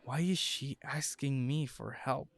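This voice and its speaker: A man's voice: mid-range voice, unhurried, vocal fry, dry recording. An actor playing an earnest, shy young man in an audio drama, with a hesitant way of talking. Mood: shy, awkward. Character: John, devoted young husband, also shy teenage student.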